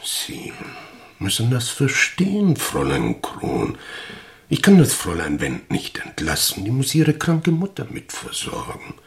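An actor in a German radio play as a thrifty, officious boss, pedantic and halting, with a gravelly voice. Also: male voice, medium pitch, huge pitch swings, full character voice. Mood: awkward, apologetic. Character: officious, thrifty male office boss dismissing his typist.

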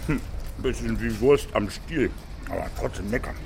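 A man's voice: medium pitch, normal speed, vocal fry, background noise, lightly acted. A man playing an easygoing character in a children's radio play. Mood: relaxed, amused.

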